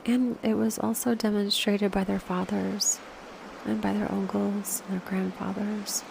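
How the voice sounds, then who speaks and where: A woman leads a guided meditation in a soft, unhurried way, leaving space between phrases. Her mid-pitched voice has a noticeable creak, and phrase endings fall away into breath. Clear ambient noise sits behind her. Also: level tone. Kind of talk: slow guided meditation with reflective questions.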